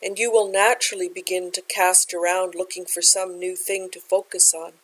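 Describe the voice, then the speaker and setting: A woman leading a guided meditation as a teacher, speaking in a gentle, unhurried, measured way. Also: on the low side, level tone, breathy edge, low-quality recording.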